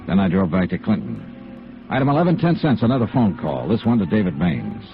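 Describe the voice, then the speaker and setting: A man's voice: low-pitched, huge pitch swings, fairly quick, gravelly, exaggerated voice. An American actor playing a hard-boiled, persistent insurance investigator in a radio noir drama, clipped and matter-of-fact as he narrates and questions. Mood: dry narration. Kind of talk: 1950s radio noir acting. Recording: dull vintage radio recording with music.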